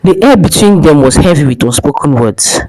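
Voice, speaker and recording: A woman's voice: low-pitched, lively intonation, moderate pace, mellow, rough recording. A woman narrating a dramatic folktale as a storyteller, in a scripted style.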